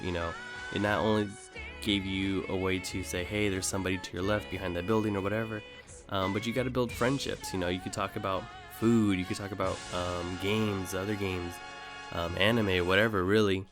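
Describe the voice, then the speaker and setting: Low-voiced man, casual gaming commentary over music. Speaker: gaming video host. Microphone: voice-over recorded over gameplay. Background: music under the voice.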